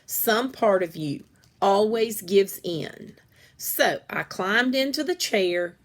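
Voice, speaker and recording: Female storyteller for a children's novel read-aloud, expressive, acts out the dialogue. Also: medium pitch, takes it slowly.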